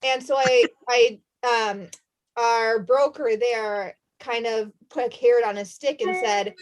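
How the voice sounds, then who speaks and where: A woman's voice: fairly high pitch, steady tone, a little slow, rough recording. A woman in her thirties, a podcast host chatting casually and telling stories. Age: 30s. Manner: chatty, storytelling, laughs often. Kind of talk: casual chat.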